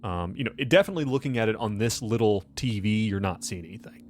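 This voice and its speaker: A man working as game master, narrating a tabletop game in a halting, conversational way. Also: fairly low pitch, animated.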